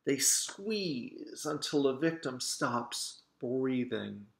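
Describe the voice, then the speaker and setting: A man voicing a studious, doubtful young girl detective in a storybook, explaining things in a measured way. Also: medium pitch, very animated, creaky, heavily acted. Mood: explanatory. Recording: roomy, echoing sound.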